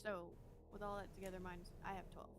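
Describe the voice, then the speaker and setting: A woman's voice: medium pitch, level tone, a little fast, heavy background noise. A woman playing in a tabletop game, bantering playfully with the group. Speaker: player. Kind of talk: casual chat at the gaming table.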